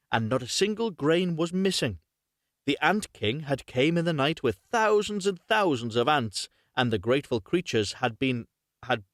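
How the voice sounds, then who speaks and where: A man's voice: fairly high pitch, fairly bright tone, no echo, studio quality. A man hosting a live, unrehearsed story reading, narrating expressively, correcting himself and adding chatty asides. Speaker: host reading stories aloud on a livestream.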